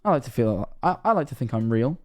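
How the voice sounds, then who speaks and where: A man's voice: medium pitch, very animated, fast. A Scottish man of 21 streaming casually, rambling and self-mocking, swearing often.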